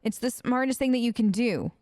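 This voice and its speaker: Expressive high-voiced woman gamer. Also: a little fast, dry recording, clean studio sound. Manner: chatty, expressive, exasperated reactions. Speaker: gamer, let's player. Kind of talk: in-character role-play game commentary.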